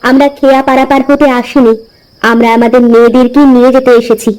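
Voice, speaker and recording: A woman voicing a stern, estranged mother from a wealthy family in an animated moral story, speaking gravely and deliberately. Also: sits high, natural voice, low-quality recording. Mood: grave, explaining.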